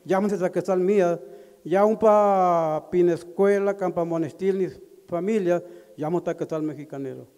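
A middle-aged man addressing a community meeting through a handheld microphone, speaking haltingly with pauses and fillers. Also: high voice, warm tone. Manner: halting, with pauses and fillers, switching between languages. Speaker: community member at a consultation. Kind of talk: public address. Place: large indoor community hall. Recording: echoey hall over a PA.

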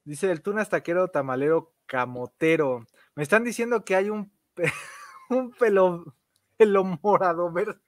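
A Mexican man hosts a casual livestream in a fairly high voice. The sound is dry and close as he chats and reads out viewer comments. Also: measured pace, no room sound. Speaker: livestream host, interviewer.

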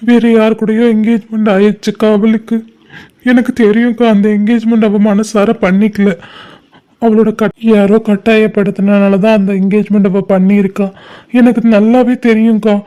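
A man's voice: high-pitched, mellow, lightly acted. A young man playing a heartbroken lover, speaking with a Tamil accent, pleading and crying. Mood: tearful. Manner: pleading, tearful and despairing. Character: lovesick young man, grieving and desperate. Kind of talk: short-film drama acting.

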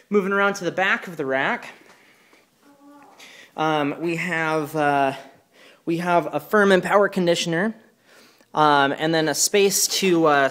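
A man presenting equipment in an informal hands-on walkthrough, speaking hesitantly and pausing mid-sentence. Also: fairly high pitch, fairly bright tone. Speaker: presenter demonstrating church sound equipment. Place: carpeted indoor room. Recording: clear background noise, handheld camera mic.

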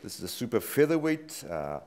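A man's voice: mid-range voice, sing-song, fairly quick, a little mellow. A man doing casual live sports commentary in a jokey, conversational way. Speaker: fight commentator.

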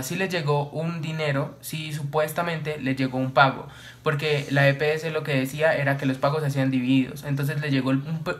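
Calm young man telling a story. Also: on the high side. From Colombia. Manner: calm, explanatory, with many fillers. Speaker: vlogger. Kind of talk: storytime chat on camera. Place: small home room, indoors.

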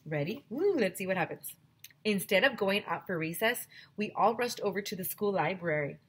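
A woman in her thirties, a teacher on a headset mic, reading a chapter book aloud to children with lively, expressive storytelling. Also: mid-range voice, normal speed, husky. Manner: expressive storytelling, addresses kids directly. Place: small home room with classroom decorations.

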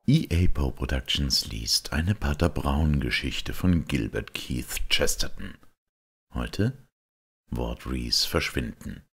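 A man reading a literary detective story aloud as an audiobook narrator, in a measured, expressive storytelling delivery with a gravelly voice. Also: rumbling low. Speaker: audiobook reader.

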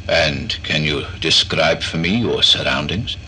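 An actor playing a calm, eccentric male psychiatrist in a horror audio drama, asking measured, coaxing questions. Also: very deep voice, a little hiss or hum, heavily acted, low-quality recording. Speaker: doctor.

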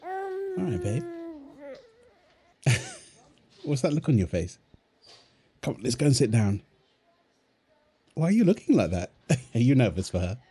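A man playing a playful, doting young husband and father in a soft, tender ASMR roleplay, teasing as he talks. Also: medium pitch, wildly swooping pitch, measured pace, pauses often, slightly rough, exaggerated voice. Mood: reassuring, affectionate. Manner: teasing, tender, chuckles often while talking. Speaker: audio roleplay performer. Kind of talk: ASMR boyfriend roleplay, one-sided dialogue.

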